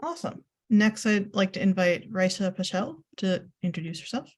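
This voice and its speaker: A woman's voice: mid-range voice, animated. Upbeat woman moderating a panel. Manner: upbeat, quick spoken acknowledgements between guests.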